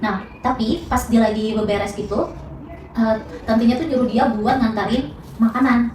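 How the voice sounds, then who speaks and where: A woman's voice: a little high, fairly even intonation, normal speed, reverberant, far from the mic, a crowd in the background, rough recording. A young woman, a student casually telling a spooky story on a podcast, with lots of fillers and restarts. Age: young adult. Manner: chatty, many fillers and restarts.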